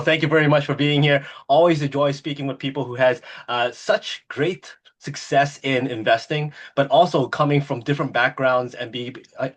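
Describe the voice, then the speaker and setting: A man hosting an interview podcast, introducing and questioning his guest with eager enthusiasm and plenty of "uh" fillers. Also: medium pitch. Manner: enthusiastic, many "uh" fillers and restarts. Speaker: podcast host.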